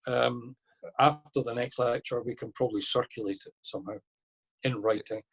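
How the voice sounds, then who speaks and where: Husky guy on a video call, chatty and easygoing, restarts his sentences a lot. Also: medium pitch, normal speed, low-quality recording. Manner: relaxed, conversational, thinking aloud with restarts. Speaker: lecturer on masonic history. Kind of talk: online lecture Q&A.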